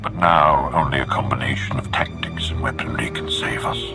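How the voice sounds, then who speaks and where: Very deep, solemn Sith warlord. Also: male voice, in a whisper, music in the background. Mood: grave, measured. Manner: solemn, deliberate, formal. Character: grave, commanding Sith lord, warlord of a dark empire. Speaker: military leader giving a mission briefing. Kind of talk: video game cutscene acting.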